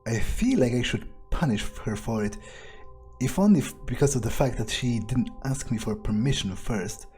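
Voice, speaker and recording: Man playing a brooding, mellow-voiced vampire. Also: mid-range voice, lively intonation, normal speed, soft background music, natural voice. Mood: irritated. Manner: brooding, hesitant, profane musing. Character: cynical, menacing male vampire talking through his thoughts. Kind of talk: in-character gameplay roleplay monologue.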